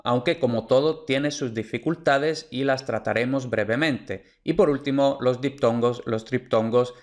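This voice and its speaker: A male teacher delivers an online course lecture over slides. He is clear and methodical, and he restates each point to be sure it lands. His voice sits at an ordinary middle pitch for a man. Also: normal speed. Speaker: Spanish pronunciation teacher.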